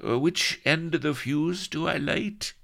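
A man voicing an excitable, cynical adventurer colonel who grumbles and protests in colloquial speech, in a comic adventure story. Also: fairly high pitch, a little slow, vocal fry, pitched above the performer's own voice. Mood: caustic, nervous.

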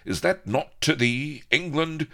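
Indignant, plummy naval hero, pitched up. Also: male voice, takes it slowly, gravelly, bright, forceful tone, heavily acted. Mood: outraged. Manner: plummy, indignant, schoolboyish exclaiming. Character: pompous, patriotic young male naval hero, easily outraged.

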